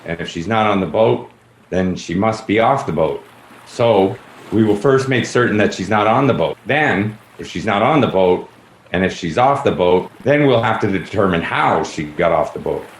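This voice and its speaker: A man's voice: fairly low pitch, animated, normal speed. A man playing a pompous, plodding, self-important police detective in a radio play. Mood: officious. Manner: pompous, repetitive, officious. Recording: echoey room, faint background noise.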